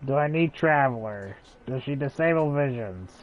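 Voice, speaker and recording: A man streaming a game, talking in unhurried, mumbled asides. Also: mid-range voice, animated. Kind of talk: casual gameplay commentary. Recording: tinny phone-like audio.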